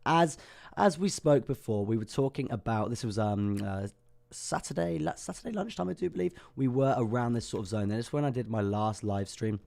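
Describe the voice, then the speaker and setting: A young man hosts a crypto livestream with a hoarse, worn-out voice, talking casually with lots of ums and restarts. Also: medium pitch, lively intonation, normal speed, a little mellow. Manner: chatty, self-deprecating, many ums and restarts. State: hoarse, lost voice. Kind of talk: casual market analysis livestream.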